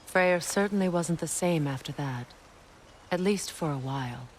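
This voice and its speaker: An actress plays a somber, mature goddess in a video game. She is reflective and measured, with a faint noise behind her. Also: deep voice, close to an everyday voice, low-quality recording. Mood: somber recollection. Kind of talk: dramatic video game storytelling.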